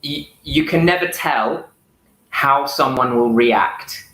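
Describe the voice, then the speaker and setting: An Englishman talks in a relaxed, easygoing way, explaining and giving advice. His voice is bright and forward, and it rings out in an echoey room. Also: mid-range voice, slow. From England. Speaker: guest. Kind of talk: casual interview chat.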